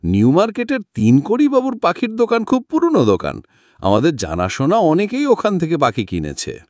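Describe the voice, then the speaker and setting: A man voicing a genial, courteous gentleman of an old household in an audiobook, polite and obliging in manner. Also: a little high, huge pitch swings, normal speed, no room sound, clean studio sound, pitched above the performer's own voice. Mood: genial, explanatory.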